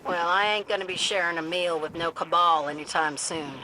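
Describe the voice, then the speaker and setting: A woman's voice: lowish voice, fairly quick, distant mic, background noise, natural voice. A woman voicing a plain-spoken pilot in a video game, with a folksy, matter-of-fact Southern US accent.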